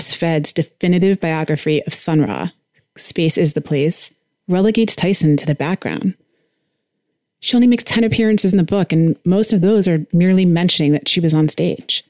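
A woman's voice: low-pitched, darkish tone, no room sound, clean studio sound. A woman hosting a podcast, reading a scripted documentary-style narration. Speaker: podcast host.

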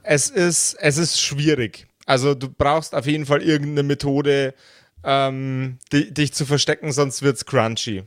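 A man narrating an improvised tabletop game as game master, hesitant and pausing mid-sentence. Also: mid-range voice, fairly even intonation, dry recording, studio quality.

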